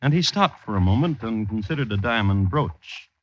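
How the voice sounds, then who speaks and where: An actor playing a droll, scholarly crime storyteller, narrating formally and deadpan in 1950s radio style. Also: male voice, lowish voice, normal speed, muffled recording, slight background noise, close to an everyday voice. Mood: wry storytelling. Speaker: host and narrator.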